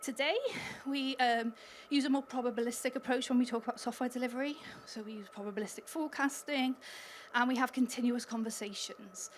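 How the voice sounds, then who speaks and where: A woman's voice: a little high, fairly even intonation, a little fast, a little hiss or hum. A Welsh woman speaking at a conference, chatty and upbeat, laughing lightly between points. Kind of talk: conference talk. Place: conference hall stage.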